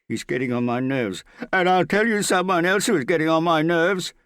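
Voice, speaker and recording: A male narrator plays a frightened, suspicious man who drinks and hides from the world. His voice rides high and urgent, pleading and easily roused to anger. It is audiobook character work, closely and dryly recorded. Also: unhurried, gravelly, no echo, studio quality. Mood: irritated. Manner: agitated, suspicious, pleading, prone to shouting. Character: paranoid, shaky, hard-drinking frightened man in hiding.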